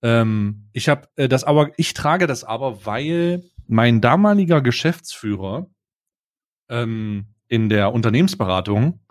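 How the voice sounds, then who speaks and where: An adult man co-hosting a podcast in casual chat, asking slow, drawn-out questions and laughing easily. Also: mid-range voice, lively intonation. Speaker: podcast co-host.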